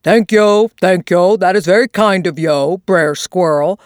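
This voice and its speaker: A woman voicing a good-natured old male possum who talks in a slow, mournful dialect drawl. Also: fairly low pitch, dry recording, studio quality, natural voice.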